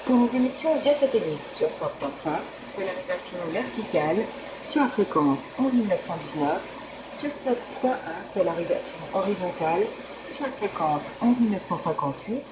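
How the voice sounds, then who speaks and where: Low-voiced newsreader woman on noisy shortwave radio. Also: animated, echoey room. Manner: formal, measured announcing. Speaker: radio news presenter. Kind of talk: scripted news bulletin reading. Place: broadcast heard through a shortwave receiver.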